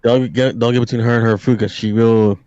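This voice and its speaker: A man's voice: mid-range voice, steady tone, fairly quick, dark tone, lo-fi sound. A man giving casual reactions as a guest, often repeating his words and swearing lightly.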